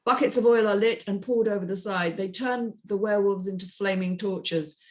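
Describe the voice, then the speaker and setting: Woman, medium pitch, screenplay narration, reading action lines, script panel host. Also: moderate pace.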